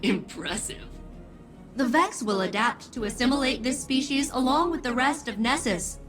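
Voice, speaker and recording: Chirpy high ai lady from a video game, polite but keen on violence, clipped lines, music under it. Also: lively intonation, moderate pace, fairly bright tone. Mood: bright, eager. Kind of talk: video game character dialogue.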